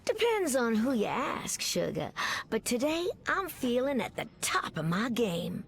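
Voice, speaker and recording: Tough flirty superheroine, American Southern drawl, creaky edge, animated melody, video game. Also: female voice, a little high, a little slow, slight background noise, full character voice. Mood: sassy. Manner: drawling, folksy, teasing. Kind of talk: video game character voice acting.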